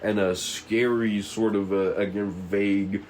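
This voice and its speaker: A man narrating stage directions at a table read, haltingly and stumbling over the script now and then. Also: on the low side, level tone, a little mellow, slight background noise.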